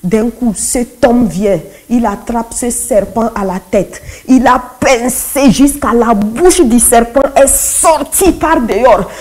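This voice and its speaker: A woman with a fairly high, very mellow voice shares her religious testimony. Her native language is Kotokoli. She tells it as a dramatic story, repeating phrases for emphasis and voicing the dialogue she quotes. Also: takes it slowly, few pauses. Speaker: church testimony speaker.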